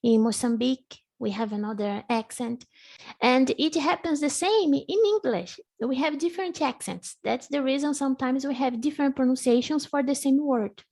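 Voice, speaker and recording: A woman's voice: high voice, a little mellow. A woman whose first language is Portuguese, teaching a live online English lesson and patiently drilling each word. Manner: patient, slow drilling, repeats words for the student.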